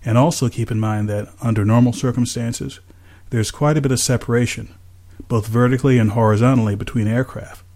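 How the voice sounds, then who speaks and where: An American man speaking as an aviation safety analyst, in a measured, careful, explanatory way. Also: medium pitch, steady tone, darkish tone. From United States. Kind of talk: measured explanatory interview talk.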